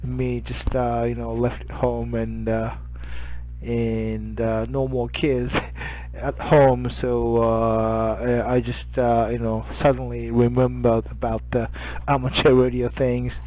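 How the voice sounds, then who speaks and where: A middle-aged man with a Japanese accent chatting as an amateur radio operator, halting and often searching for words. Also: medium pitch, little pitch movement, warm tone, rough recording. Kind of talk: casual radio contact chat.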